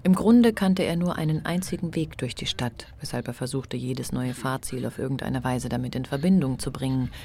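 An actress playing the composed narrator of a literary radio drama. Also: female voice, very deep voice, normal speed, few pauses, mellow. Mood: composed, storytelling.

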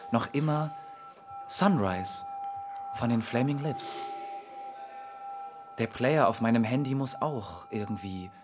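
A man's voice: mid-range voice, animated, slow, pauses often. An actor in a radio play voicing the matter-of-fact ghost of a man in his twenties, narrating with detachment. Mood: detached, wistful. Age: 20s. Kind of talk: radio drama monologue. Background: music.

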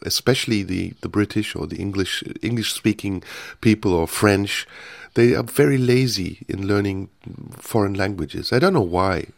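German-accented male radio host, gravelly low voice, jovial, dry close sound. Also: no room sound. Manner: jovial, halting English. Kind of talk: bilingual interview.

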